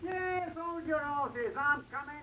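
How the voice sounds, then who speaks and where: Shrill, brash henchman. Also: slow, sharp tone, full character voice. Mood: surly. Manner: brash, curt, slangy. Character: male henchman, brash thuggish wise guy. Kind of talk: 1940s radio drama acting. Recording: noisy old 1940s radio recording.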